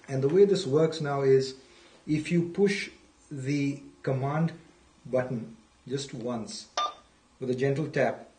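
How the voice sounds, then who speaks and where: A man's voice: mid-range voice, darkish tone. A man presenting a product demonstration, explaining step by step in a methodical, instructional way and repeating key points. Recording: roomy echo, distant microphone.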